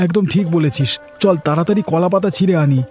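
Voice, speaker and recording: A man's voice: high voice, fast talker, a little mellow, faint music behind, lightly acted. A man voicing an earnest, worried young village farmer in a cartoon. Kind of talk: cartoon voice acting.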